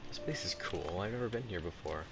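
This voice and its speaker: Male gamer, casual commentary, halting low-key asides, deepish pitch, creaky voice, loud game music behind. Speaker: gameplay streamer.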